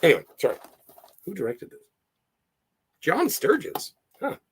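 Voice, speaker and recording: A hoarse man hosting a casual livestream, rambling and easily sidetracked as he chats and reads out viewer comments. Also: high-pitched, wildly swooping pitch, a little slow. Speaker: livestream host.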